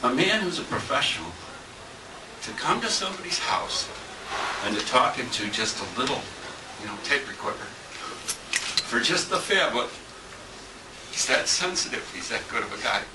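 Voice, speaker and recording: An older man speaks plainly and earnestly in an off-the-cuff tribute. His medium-pitched voice comes from far off in an echoey, noisy restaurant room, recorded on a small camera. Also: very animated, moderate pace, pauses often. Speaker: longtime friend giving a tribute. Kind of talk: unprepared personal tribute. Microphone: handheld compact camera at a distance.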